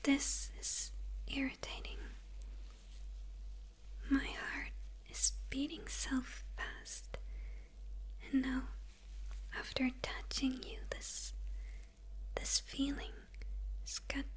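A young woman playing a smitten, menacing yet confused female supervillain in an ASMR roleplay, speaking in a breathy, hesitant whisper close to the microphone. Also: sits high, monotone, poor audio. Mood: confused, conflicted. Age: young adult. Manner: slow, hesitant, halting murmur with pauses.

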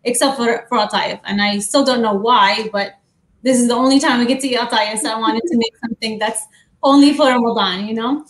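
A woman's voice: on the high side, brisk. Young woman baker in an echoey kitchen. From Middle East, grew up in Amman. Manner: warm, chatty, many fillers. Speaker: guest baker. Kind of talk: casual chat and cooking demo. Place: home kitchen, on video call.

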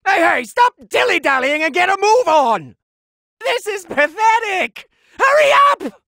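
Anime game villainous cat deity: high, raspy-creaky, hugely animated, petulant and imperious, fat glutton with a blustering bite. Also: male voice, dry recording, clean studio sound, full character voice. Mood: irritated, threatening. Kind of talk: anime video game voice acting.

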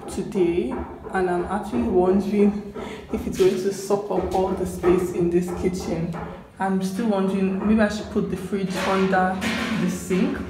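Nigerian woman vlogging in echoey rooms. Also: medium pitch. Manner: relaxed, chatty, thinking aloud with many fillers. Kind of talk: casual vlog chat to camera. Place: small bare apartment with tiled rooms. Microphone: handheld camera close to face. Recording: echoey empty rooms with background music.

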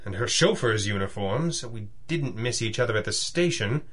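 An actor playing a thoughtful masked pulp detective hero in a radio drama, musing aloud deliberately. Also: male voice, fairly low pitch, expressive, fairly bright tone, husky. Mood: puzzled musing.

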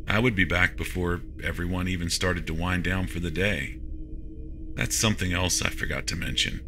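A man reading a first-person science fiction horror story as a scripted narration. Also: low voice.

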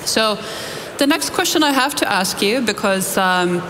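A woman's voice: medium pitch, expressive, a little slow, fairly bright tone. Woman from Finland interviewing in a big hall. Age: 40s. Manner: articulate, reflective questions. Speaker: interviewer, moderator. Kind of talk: onstage conference interview. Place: large conference hall stage. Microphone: headset mic. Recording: reverberant hall with background noise.

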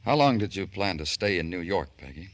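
An actor playing a world-weary, relentless police detective in a radio noir drama, clipped and deadpan. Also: male voice, sits low, fairly quick. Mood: probing, cool. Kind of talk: old-time radio noir narration and dialogue.

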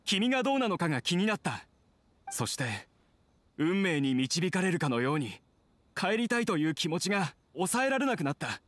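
An actor playing a gentle, wistful young man in a video game dub, earnest and soft-hearted. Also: high-pitched, very animated, normal speed, dry recording. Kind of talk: Japanese game dub acting.

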